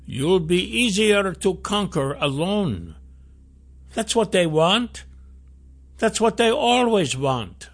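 Old wizard, protective and unhurried, high thin voice put on by a male narrator. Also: sing-song, heavily acted. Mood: earnest.

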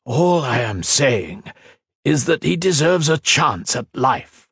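A man's voice: medium pitch, lively intonation, takes it slowly, gravelly, a bit bright, breathy edge, no room sound, clean studio sound, voice pushed up, exaggerated voice. A man voicing an anguished male scientist who pleads for his creation in a strained voice. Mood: desperate. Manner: pleading, strained, rising to outbursts.

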